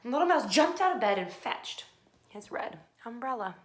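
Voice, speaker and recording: A woman narrating a children's picture book expressively, with dramatic pauses and hushed phrases. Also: fairly high pitch, sing-song. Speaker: storybook reader. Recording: faint background noise.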